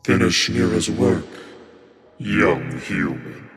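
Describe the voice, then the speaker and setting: Drenched in long reverb over music, this is a solemn, otherworldly cosmic being acted by a man. The voice is very deep and slow, with a portentous, commanding weight. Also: expressive, a bit bright, full character voice.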